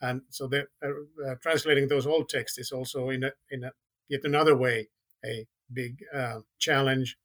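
A man's voice: mid-range voice, fairly even intonation, fairly bright tone. A man from Finland with a Finnish accent, a podcast guest speaking carefully in English with frequent restarts. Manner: careful, halting, with many restarts. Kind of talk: casual podcast conversation.

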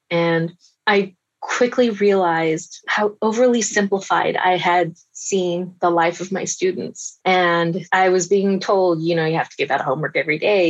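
A woman in her thirties answering interview questions in an earnest, reflective and measured way. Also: on the low side, lo-fi sound. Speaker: forensic science researcher, guest.

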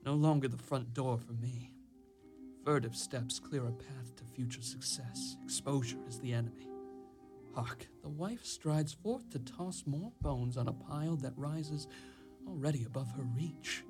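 A man's voice: mid-range voice, unhurried, close to an everyday voice. Young fairy-tale lad, male actor: sly, plucky, mid-range, florid radio-drama delivery over music. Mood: furtive, scheming. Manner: florid, declamatory, cheeky. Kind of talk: live radio play acting.

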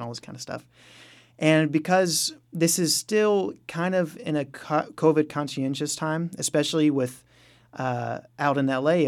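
Man, average pitch, casual interview answers, informal, anecdotal. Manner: informal, anecdotal, quotes his own reactions. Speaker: interview guest, musician.

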